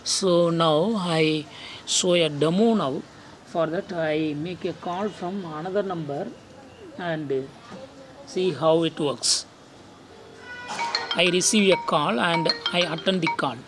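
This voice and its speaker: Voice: mid-range voice, takes it slowly, long pauses, music in the background, low-quality recording. A tech tutorial host narrating phone steps one by one, often repeating instructions, recorded outdoors.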